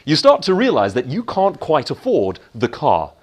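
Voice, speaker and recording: A man's voice: a little high, huge pitch swings, moderate pace. A man giving a motivational keynote talk in an emphatic manner, posing questions and answering them himself. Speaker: keynote speaker.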